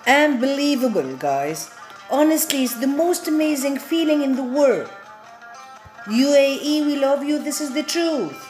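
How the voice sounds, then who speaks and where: A woman's voice: sits high, animated, a little slow, a little mellow. A woman hosting a show, enthusiastically addressing her viewers. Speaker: show host. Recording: echoey room with faint music.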